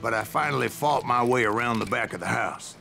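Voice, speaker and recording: An actor playing an old gunslinger in a Western video game, spinning tall tales in folksy frontier slang. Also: male voice, mid-range voice, fairly quick, vocal fry, sharp tone. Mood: animated storytelling. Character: old male Wild West gunslinger telling his tall tales. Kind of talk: game voice acting, narrated yarn. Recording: music under the voice.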